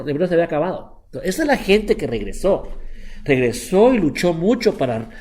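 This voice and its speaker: A middle-aged man teaching a relaxed Bible class as a rabbi, talking in a chatty, repetitive way and slipping English words into his Spanish. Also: mid-range voice. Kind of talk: informal Bible lesson.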